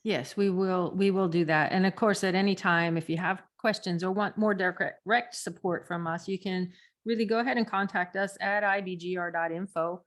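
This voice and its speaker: A woman's voice: lowish voice, steady tone, moderate pace. A woman co-hosting and coaching on a podcast in casual, giggly conversation, repeating words for emphasis. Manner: giggly, laughs often between phrases. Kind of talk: casual podcast teaching chat.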